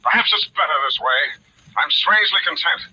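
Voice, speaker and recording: An actor playing a wisecracking, mock-heroic private detective speaking over the telephone in grandiose, mock-solemn 1940s radio style. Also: male voice, a little high, animated, fairly quick, bright, forceful tone, husky, full character voice. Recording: tinny telephone effect, old radio recording.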